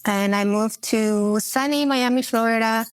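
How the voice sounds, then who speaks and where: A woman's voice: a little high, unhurried, no room sound. A woman in her forties from Peru, a podcast guest telling personal stories in an earnest, reflective way with frequent fillers. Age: 40s. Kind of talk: personal storytelling in an interview.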